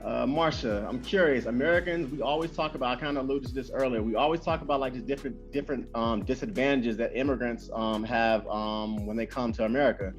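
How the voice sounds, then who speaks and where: A man hosting a casual interview, talking quickly and loosely with many fillers and repeated phrases. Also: medium pitch. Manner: fast, animated, lots of fillers and repeats. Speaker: host. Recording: dull lo-fi sound with faint music.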